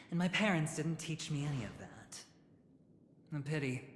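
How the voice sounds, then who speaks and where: An actor playing a smooth, calculating young male gambler with a smug, teasing, lightly mocking manner in a video game. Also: mid-range voice, a little hiss or hum, lightly acted. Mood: wry teasing. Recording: echoey hall reverb, music behind.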